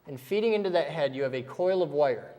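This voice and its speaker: A man's voice: a little high, lively intonation, a little fast, mellow, long reverb tail, highs cut off. A man teaching step by step in a casual way, often checking in with "okay?" as he goes. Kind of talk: casual technical explanation while drawing.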